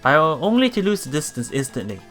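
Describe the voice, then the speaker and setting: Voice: mid-range voice, sing-song, fast talker, a bit bright, soft background music. A let's play streamer reading game text aloud with casual reactions, often stumbling over words and repeating them.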